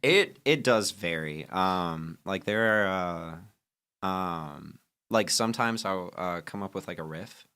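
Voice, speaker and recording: A young man, a band's songwriter, chatting as a podcast guest, full of fillers and lively when retelling stories. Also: low-pitched, slow pace, clear, resonant tone. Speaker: band singer-songwriter and guest. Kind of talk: casual podcast interview.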